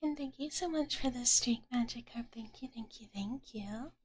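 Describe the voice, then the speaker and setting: A woman streaming whispered ASMR, speaking to live viewers in a soft, hushed, halting way into a close microphone. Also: a little high, breathy edge. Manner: speaks of herself in third person as a dragon character.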